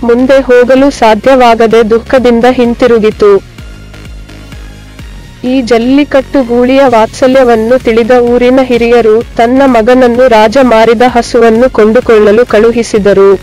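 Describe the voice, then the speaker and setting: A woman's voice: on the high side, little pitch movement, a little slow, mellow, soft background music. A woman narrating scripted moral tales for children.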